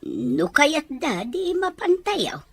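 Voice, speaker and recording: A woman playing a mild, caring older mother in an audio drama, with a husky, breathy voice. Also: sits high, wildly swooping pitch, slow, a bit bright, full character voice. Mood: gentle, hesitant. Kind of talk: amateur audio drama dialogue.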